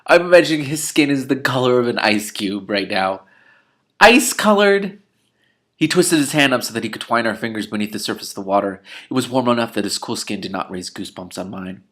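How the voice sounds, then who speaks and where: A man's voice: mid-range voice, expressive, a little fast, a bit bright. A man reading a novel aloud as host, breaking into casual, sarcastic and irreverent commentary. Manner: sarcastic, irreverent, incredulous outbursts between read passages.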